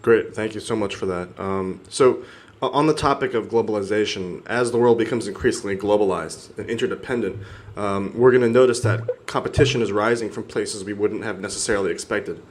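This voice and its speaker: A man's voice: on the low side, brisk, a little hiss or hum. A young adult man interviewing a guest, reading out prepared questions and briefly thanking him between them. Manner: reads out his questions with a brief thank-you.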